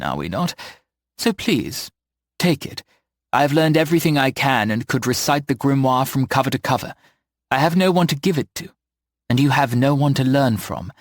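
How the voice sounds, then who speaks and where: A man's voice: medium pitch, no echo, clean studio sound. A man reading aloud and voicing a kindly, motherly older village witch who speaks in an encouraging way.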